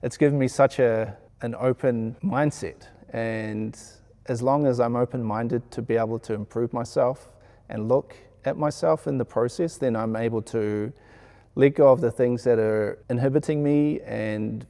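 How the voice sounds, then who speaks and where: A man with a New Zealand accent speaks reflectively, as if answering an interview. His voice is mellow and relaxed, with a creaky, gravelly edge. He sounds earnest and measured. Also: medium pitch. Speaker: sound engineer being interviewed.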